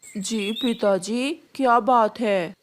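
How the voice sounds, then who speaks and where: Young crow son for a cartoon, played by a woman: submissive, troubled, high-pitched and unhurried. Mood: meek. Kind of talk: Hindi cartoon story acting.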